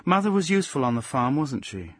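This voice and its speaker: A man's voice: medium pitch, big pitch swings, a bit bright, breathy edge, no room sound, studio quality. A man voicing an earnest, affectionate young woman in an audiobook, coaxing and stubbornly devoted, with emphatic speech. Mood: tentative, coaxing. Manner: coaxing, emphatic, halting when unsure. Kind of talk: audiobook character voice.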